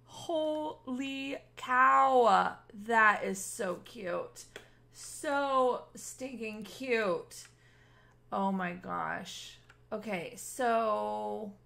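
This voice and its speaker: Bright, gushing woman gaming host. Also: a little high, vocal fry. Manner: gushing, expressive, exclamatory. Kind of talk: casual gameplay commentary to viewers.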